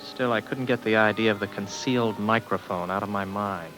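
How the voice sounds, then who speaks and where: An actor playing an uneasy, defiant young former space officer in a 1950s radio drama. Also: male voice, on the low side, a little fast, fairly bright tone, natural voice, low-quality recording. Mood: uneasy, brooding. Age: young adult. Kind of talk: 1950s radio drama acting and first-person narration. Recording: old radio recording with music behind.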